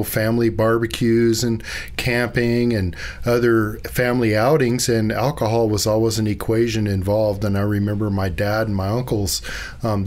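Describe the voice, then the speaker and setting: A middle-aged man from Northern California, a podcast guest, talking earnestly and reflectively about his past with many pauses and fillers. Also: mid-range voice, a little mellow. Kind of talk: personal storytelling in an interview.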